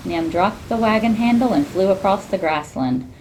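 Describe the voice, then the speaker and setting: A woman reading a children's storybook aloud in an expressive, teacherly way. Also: medium pitch, normal speed, slight background noise, poor audio.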